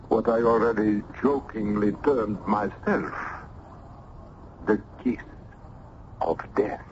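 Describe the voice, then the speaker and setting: An actor playing a learned, genial but wary older medical professor in a radio drama, ruminative and courtly with hesitant pauses. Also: male voice, on the low side. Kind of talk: old-time radio drama acting. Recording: dull, noisy old radio recording.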